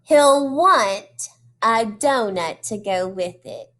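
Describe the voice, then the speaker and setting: A woman reading a children's storybook aloud like a teacher, expressive and deliberate, speaking directly to young listeners. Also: mid-range voice. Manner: addresses children directly with questions and praise.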